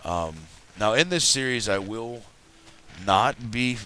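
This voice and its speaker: A man hosting a casual game commentary, his voice raspy from having just woken up, rambling and chatty as he plays. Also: medium pitch, expressive, slow, bright, forceful tone, a little hiss or hum. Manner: rambling, chatty, self-correcting. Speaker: let's play host.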